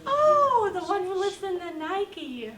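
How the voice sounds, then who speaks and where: A probing lady prosecutor from a comic stage play, acted by a woman. The voice is very high and takes its time. It is distant, echoey and buried in loud noise. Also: sing-song, slow, heavily acted. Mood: curious, sardonic. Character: brisk female assistant prosecutor questioning witnesses. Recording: echoey, noisy, distant microphone.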